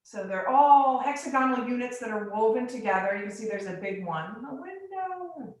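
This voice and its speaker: A woman from the Bahamas and Virgin Islands, a glass artist giving a casual guided tour in an informal, halting way with pauses between phrases. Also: on the high side, animated. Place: living room of a home studio. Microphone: phone on a selfie stick. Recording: echoey room, live video stream.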